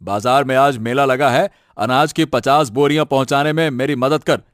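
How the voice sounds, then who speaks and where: A man acts as a gruff farmer in a cartoon fable. He is bossy and scolding, barking orders in a medium-pitched voice, recorded dry. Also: moderate pace, dry recording, natural voice. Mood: angry scolding. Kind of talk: Hindi animated moral story acting.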